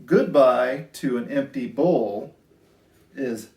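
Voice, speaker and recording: A man hosting a children's storytime, reading picture books aloud in an expressive, sing-song way with drawn-out phrases. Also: mid-range voice, vocal fry. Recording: roomy, echoey indoor sound.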